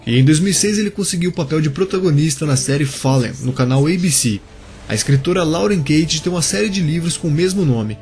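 A man narrates a scripted biography for his channel. His voice sits a little high, and he talks casually and straight to the viewers. Soft music plays under him. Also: lively intonation, brisk. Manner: casual, addresses viewers directly. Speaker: YouTube channel host.

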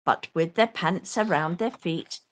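A woman reading a children's picture book aloud with expressive, theatrical delivery. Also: fairly low pitch, measured pace. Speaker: storyteller.

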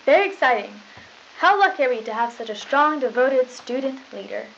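A young woman playing a gushing, relentlessly upbeat high school news anchor with a chirpy, scripted delivery. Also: expressive, a little fast. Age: young adult. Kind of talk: scripted mock 1990s school news broadcast. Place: small studio news desk. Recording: dull, noisy lo-fi 1990s videotape.

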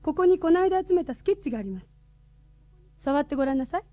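Radio drama role: formal, polite, earnest woman, high register, soft dark tone, very dry sound. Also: animated, quick pace, no room sound. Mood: serious inquiry. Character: serious, courteous woman questioning a schoolboy.

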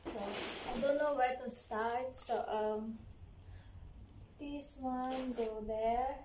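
High giggly Filipino woman in echoey room. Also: steady tone. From Philippines. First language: Tagalog. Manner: giggly, searching for words, halting English. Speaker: vlogger. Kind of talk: casual home vlog. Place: living room at home, indoors. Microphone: camera across the room. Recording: echoey room with faint noise.

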